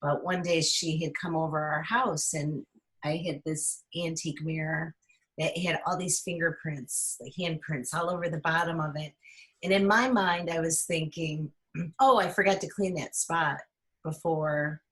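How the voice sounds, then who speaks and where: A woman's voice: deep voice, steady tone, measured pace, a bit bright. A woman hosting a casual interview over a video call. She sounds encouraging and laughs readily. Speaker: host.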